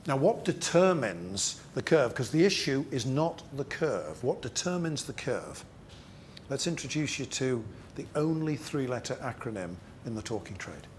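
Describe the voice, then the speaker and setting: A man leading a business training seminar, speaking emphatically with rhetorical questions and deliberate repetition. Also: mid-range voice, very animated, slight background noise. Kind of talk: interactive training seminar talk to a group.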